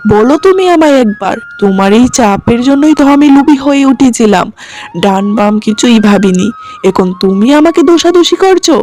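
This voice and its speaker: Woman playing a selfish, defiant young man, rather high-voiced. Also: animated, normal speed, darkish tone, soft background music, lightly acted. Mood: blaming, bitter. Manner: sarcastic, blaming. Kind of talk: animated moral story cartoon dialogue.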